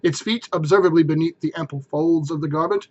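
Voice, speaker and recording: A man's voice: fairly high pitch, a little fast, darkish tone, lo-fi sound. A man reading a classic story aloud as narrator, in a theatrical style with emphatic phrasing.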